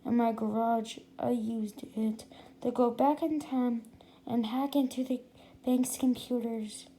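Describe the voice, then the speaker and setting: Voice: medium pitch, level tone, takes it slowly, warm tone, slight background noise. A storybook reader voicing a smug boy inventor who is proud of himself and repeats his phrases. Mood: proud, breezy.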